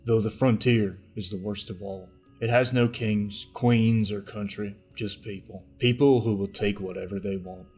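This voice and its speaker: A man's voice: lowish voice, fairly even intonation, moderate pace, few pauses, darkish tone, slightly rough, faint music behind. A man giving dramatic, scripted narration for a fantasy podcast trailer.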